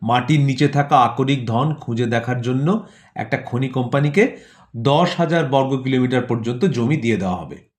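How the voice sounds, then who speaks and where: Man narrating a satirical tale. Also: medium pitch. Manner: rhetorical, measured phrasing with repetition and listed words. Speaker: narrator of an audio play. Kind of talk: scripted satirical storytelling narration.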